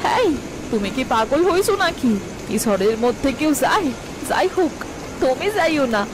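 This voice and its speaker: A woman's voice: high-pitched, big pitch swings, normal speed, noisy background. A woman voicing a protective farmer's wife and mother in a cartoon, scolding and pleading in village speech. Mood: anxious. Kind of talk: cartoon voice acting. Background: background music.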